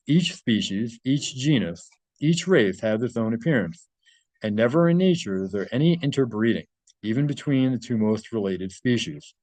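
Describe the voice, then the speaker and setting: A man's voice: medium pitch, animated, moderate pace, a little mellow, no room sound, poor audio. A man reading a translated article aloud and adding his own informal commentary.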